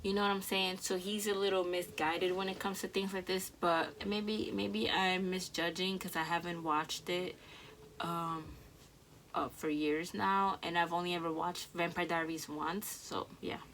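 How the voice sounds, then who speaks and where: Young woman, Dominican accent, low-pitched, casual rambling reaction chat. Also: fairly even intonation, a little slow, fairly bright tone, a little hiss or hum. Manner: chatty, rambling, frequent fillers and restarts. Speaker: YouTube reaction host.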